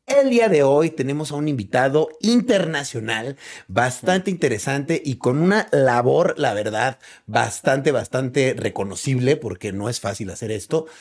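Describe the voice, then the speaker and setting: A man hosting a casual podcast interview from his home. He keeps the conversation moving with short affirmations and friendly, curious questions. His voice sits at an ordinary middle pitch. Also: expressive, moderate pace. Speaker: podcast host.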